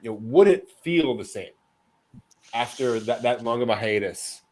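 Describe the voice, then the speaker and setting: A man's voice: mid-range voice, lively intonation, moderate pace, darkish tone, poor audio. A man hosting a casual news rundown with co-hosts, talking in a hesitant, conversational way. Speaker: host presenting anime news.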